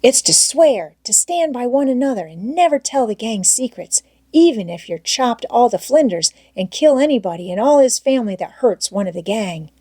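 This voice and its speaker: An excitable boy who schemes and bosses his gang in a storybook played by a woman narrator. He talks in a raised, emphatic, persuasive voice. The sound is close and dry. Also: fairly high pitch, normal speed, hardly any pauses, slightly rough, no room sound, pitched above the performer's own voice. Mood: excited. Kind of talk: storybook character voice in audiobook reading.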